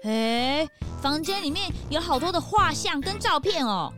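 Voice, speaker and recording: A woman playing a cheeky, excitable young detective's assistant in a children's audio mystery drama. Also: high-pitched, measured pace. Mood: curious. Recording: music behind the voice.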